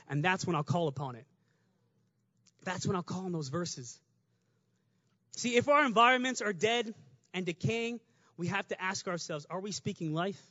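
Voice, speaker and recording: A man preaching a lively, conversational sermon, talking fast and rising to shouts at the climax. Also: high-pitched, no echo, lo-fi sound.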